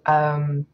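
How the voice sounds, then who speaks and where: A woman hosting a game livestream in casual chat, a little hoarse and stuffed up, rambling with many ums and uhs. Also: low voice. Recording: slightly dull lo-fi audio.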